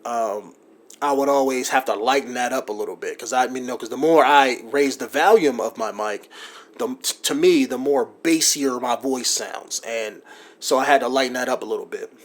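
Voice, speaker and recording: A man's voice: medium pitch, moderate pace. A man talking through his video-editing setup in a casual, rambling way, with lots of ums, likes and you-knows. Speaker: gaming YouTuber explaining his editing setup. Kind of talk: casual screen-recorded tutorial. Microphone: USB desktop mic.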